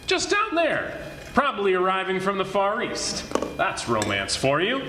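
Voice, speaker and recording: Man playing a slow, swooping police detective. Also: sits high, huge pitch swings, full character voice. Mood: casual, musing. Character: stern, wry male police detective. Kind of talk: 1940s radio detective acting. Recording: roomy echoey stage recording with noise.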